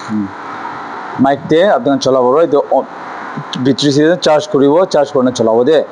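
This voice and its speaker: A man's voice: medium pitch, fairly quick, a little mellow, slight background noise, poor audio. A man gives an informal shop tour as a seller, rambling and repeating himself with English words mixed in. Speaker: music store seller presenting stock. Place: small cluttered music store.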